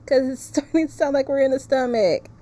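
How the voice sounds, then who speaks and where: Woman streamer w a mellow relaxed voice, reading a visual novel out loud n chatting about it. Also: sits high, fairly quick. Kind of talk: casual live game commentary mixed with reading story text aloud.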